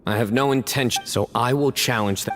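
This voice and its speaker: A man voicing a stern, composed young guardian in a video game, speaking in a measured, formal and serious way. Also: mid-range voice, a bit bright, slight background noise, lightly acted. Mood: grave, resolute. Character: stern, composed young male desert guardian, resolute and grave. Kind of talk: English video game voice acting.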